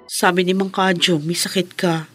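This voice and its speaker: A woman's voice: on the low side, flat delivery, moderate pace, loud music behind the voice, close to an everyday voice. A woman playing a concerned, supportive young friend in a radio drama.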